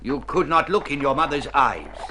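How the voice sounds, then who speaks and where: A man playing a grave, dignified older Spanish father in a radio drama, speaking formally and with measured care. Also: mid-range voice, sing-song, sharp tone. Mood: earnest, yearning. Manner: formal, measured, stilted phrasing. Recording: noisy old radio drama recording.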